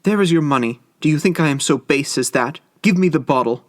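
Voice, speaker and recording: A man's voice: fairly high pitch, brisk, no echo, clean studio sound, pitched above the performer's own voice, natural voice. A man voicing a pleading young wife. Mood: desperate. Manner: pleading, breathless and urgent. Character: desperate young Hawaiian wife, woman.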